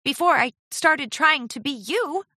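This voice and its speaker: A woman's voice: high-pitched, animated, unhurried, pushed and bright, no room sound, studio quality, pitched above the performer's own voice, full character voice. A woman voicing an eager, gushing young female pony apprentice in a storybook, with an earnest girlish manner. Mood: upset, hurt. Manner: earnest, girlish, stammering when flustered.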